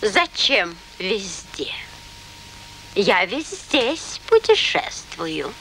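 A woman voicing a wise old tortoise in an animated film, speaking in a deliberate, thoughtful way with pauses. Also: mid-range voice, a little hiss or hum, heavily acted, low-quality recording. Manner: slow, deliberate, pondering with pauses. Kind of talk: animated film character acting.

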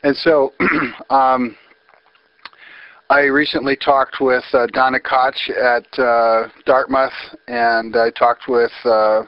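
A man's voice: mid-range voice, little pitch movement, takes it slowly, dry recording. An American man hosting a phone interview, earnest and wordy with frequent fillers. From United States. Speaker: interviewer, host. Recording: dry, slightly muffled phone recording.

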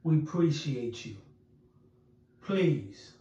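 Slow, echoey male outro voice. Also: a little high, expressive, mellow. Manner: slow, drawn-out delivery. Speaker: channel outro voice. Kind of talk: slow scripted sign-off. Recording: echoey, distant, dull lo-fi sound.

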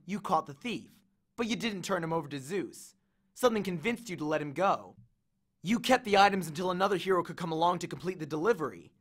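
A man's voice: high-pitched, a bit bright. A man voicing an earnest, defiant young boy hero with a blunt, accusing way of talking, as an audiobook character.

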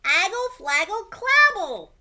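High, loud, babbling toddler girl. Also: sing-song, takes it slowly, exaggerated voice. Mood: insistent babbling. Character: fussy toddler girl babbling and wailing in frustration.

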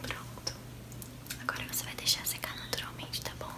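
A young woman with a Brazilian accent doing a soft, soothing ASMR roleplay as a salon attendant, speaking slowly and close to the mic. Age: young adult. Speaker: ASMR creator playing a hair salon attendant. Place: small bedroom-like room, indoors.

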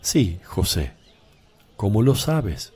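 Guy playing a calm gentle biblical master in a radio play, mellow voice, unhurried but really melodic. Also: lowish voice, husky, exaggerated voice. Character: Jesus, calm gentle male teacher. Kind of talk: Spanish religious radio drama.

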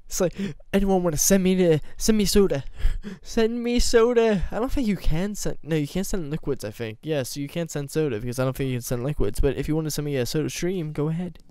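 A young man doing casual gameplay commentary from a small room at home, his voice going hoarse, rambling and joking at himself with sudden loud laughing outbursts. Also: on the high side, expressive, quick pace, few pauses, no echo, studio quality. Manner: rambling, self-mocking, bursts into loud laughing exclamations. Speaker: gaming YouTuber.

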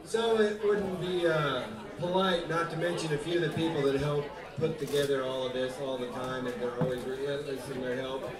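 A man's voice: medium pitch, fairly even intonation, moderate pace, hardly any pauses, distant mic. A man hosting a live music venue, making loose, folksy announcements to the audience between sets. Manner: loose, rambling and folksy, with many fillers. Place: small barn-style music venue with audience. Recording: roomy live hall, voice from afar, crowd chatter.

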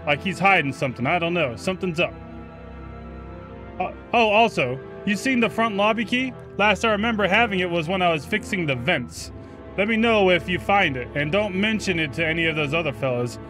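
A man's voice: sits high, animated, fairly quick, sharp tone, faint music behind. A man voicing a chatty, uneasy mobster confiding in a pal in colloquial speech, for a video game note. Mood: suspicious, confiding.

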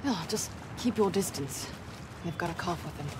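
A woman's voice: lowish voice, lively intonation, normal speed, noisy background, close to an everyday voice. Blunt South African woman mercenary, played by an actress. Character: tough, blunt, guarded female mercenary adventurer. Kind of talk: video game acting, naturalistic dialogue. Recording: loud game soundtrack music underneath.

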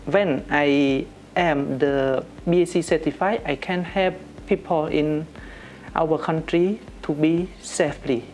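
A man from Cambodia with a Cambodian accent, answering interview questions haltingly. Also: fairly high pitch, steady tone, a little mellow, faint music behind. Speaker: interviewee, trainee. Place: indoor office room.

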